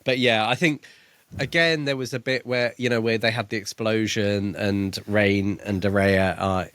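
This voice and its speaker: A man's voice: on the low side, fairly even intonation, moderate pace, fairly bright tone, no room sound. A man co-hosting a podcast, chatting casually about a book and thinking aloud with some hesitation. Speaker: podcast co-host. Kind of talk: casual book discussion chat.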